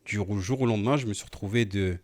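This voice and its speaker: A man in his thirties, born in Portugal and raised in France, co-hosting a podcast and telling his life story in a relaxed, familiar way with lots of fillers. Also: lowish voice, fast talker. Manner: relaxed, familiar, frequent fillers and repeated "tu vois". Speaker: podcast co-host, entrepreneur. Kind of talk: casual conversational storytelling.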